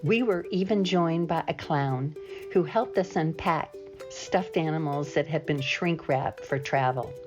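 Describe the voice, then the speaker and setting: A woman reading a scripted narration over the footage. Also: deep voice. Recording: voice-over with music underneath.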